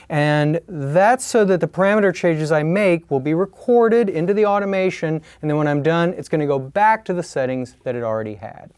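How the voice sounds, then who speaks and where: A man's voice: a little high, darkish tone, smooth, no room sound. An American man in his forties giving plain-spoken, scripted tutorial narration in a studio. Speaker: tutorial host. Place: studio, indoors.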